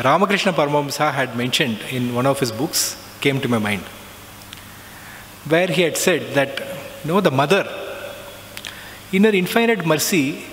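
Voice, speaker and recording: A middle-aged man tells a reflective personal story, often pausing with "uh" and "so". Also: a little high, a bit bright. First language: not Hindi. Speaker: alumnus giving a talk. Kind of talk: personal spiritual talk. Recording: long reverb, faint background noise.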